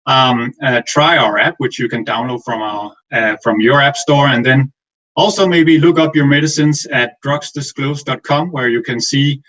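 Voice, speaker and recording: Male presenter with a Danish accent, average pitch, semi-formal conference delivery, somewhat halting. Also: a bit bright, low-quality recording. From Denmark. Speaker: guest speaker, finance executive.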